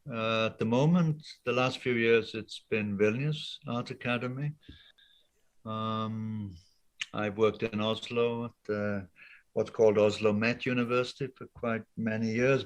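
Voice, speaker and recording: An older man with a fairly low voice speaks over a laptop webcam on a video call. He talks in a thoughtful, hesitant way, filling gaps with ums as he explains his ideas. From Wales. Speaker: art professor guest. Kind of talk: casual interview talk.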